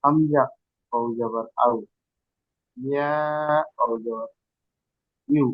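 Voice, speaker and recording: A male student in an online class, reciting Quran letters aloud slowly and steadily after his teacher. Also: mid-range voice, no echo. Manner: slow, even, repetitive recitation. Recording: tinny phone-like call audio.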